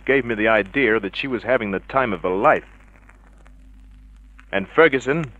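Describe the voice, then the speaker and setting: Hard-boiled gumshoe: low register, deadpan wisecracks, old muffled radio drama sound. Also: male voice, husky, exaggerated voice. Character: wry tough private eye narrating his case. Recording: dull vintage radio drama recording.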